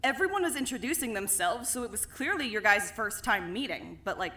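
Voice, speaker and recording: A woman's voice: fairly high pitch, lively intonation, a little fast, sharp tone. A woman voicing a blunt, suspicious young woman who talks casually and swears freely, in a fan audio drama.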